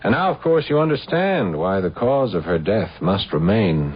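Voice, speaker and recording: An actor playing a sinister, cool and gloating killer in a radio drama. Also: male voice, low-pitched, sing-song, normal speed, husky, heavily acted. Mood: quietly triumphant. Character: sinister male killer posing as a detective. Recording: dull lo-fi radio recording.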